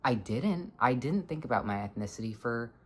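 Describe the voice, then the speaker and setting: A person in their twenties with a low voice, talking earnestly in a halting, reflective personal vlog. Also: expressive, slightly rough. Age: 20s. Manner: earnest, halting, searching for words. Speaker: vlogger.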